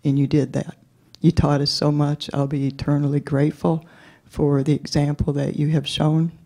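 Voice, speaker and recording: A city commissioner giving an earnest farewell tribute to the mayor at a public meeting. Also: mid-range voice. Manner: slow, even, earnest.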